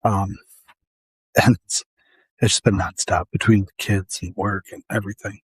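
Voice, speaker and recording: A man's voice: lowish voice, little pitch movement, a little slow, husky, dry recording. A man who works as a paramedic, talking casually and earnestly to camera, tired and losing his voice.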